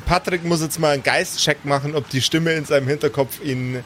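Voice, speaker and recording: Slightly high-voiced male game master, some noise. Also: fairly even intonation, brisk, fairly bright tone. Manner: teasing. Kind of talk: casual improvised tabletop narration and banter.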